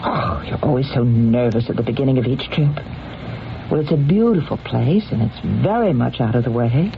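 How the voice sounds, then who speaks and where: Wealthy, domineering lady, theatrical and lively in pitch, vintage radio drama with heavy background noise. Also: normal speed, vocal fry, exaggerated voice. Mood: insistent. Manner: theatrical, coaxing, cutting. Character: imperious, possessive wealthy woman, affectionate then scolding. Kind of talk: old-time radio drama acting. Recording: muffled, noisy old radio recording.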